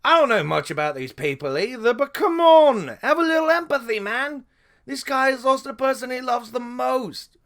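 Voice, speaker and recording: A man's voice: very high-pitched, expressive, normal speed, fairly bright tone, heavily acted. A man playing a brash, laid-back monkey warrior in an audio fantasy drama, talking in casual slang with swearing. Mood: indignant. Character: brash, laid-back male monkey-like Venara fighter who likes a drink.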